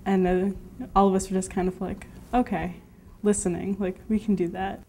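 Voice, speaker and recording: A woman teacher recalling a program activity in an interview, in a reflective, halting way. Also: mid-range voice, level tone, warm tone, slight background noise. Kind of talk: interview recollection.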